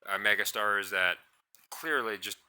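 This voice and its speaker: A man's voice: fairly low pitch, unhurried, smooth. A man ranting in a blunt, scornful way in a solo commentary, rambling and restarting his sentences. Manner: describes own voice as monotonous. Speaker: commentary channel host.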